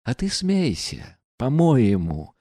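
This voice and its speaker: A man's voice: medium pitch, huge pitch swings, slow pace, mellow, no room sound, clean studio sound, exaggerated voice. A man reading aloud and voicing a proud, reckless young woman who speaks in a bitter, mocking, defiant way.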